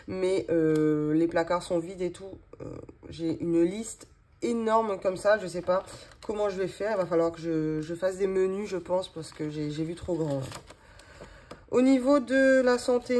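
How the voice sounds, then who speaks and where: Chatty woman in her thirties, rather low. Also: a little mellow. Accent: regional French accent. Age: 30s. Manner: chatty, rambling, many fillers and restarts, laughs often. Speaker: budgeting vlogger. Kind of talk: casual chat while doing a cash-envelope budget.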